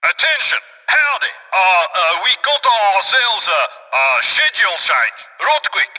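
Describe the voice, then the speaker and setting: A flustered game character faking a folksy as a railroad announcer. The high, bright voice swings about haltingly, with long reverb. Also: male voice, very animated, exaggerated voice. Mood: flustered bluffing. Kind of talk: video game voice acting.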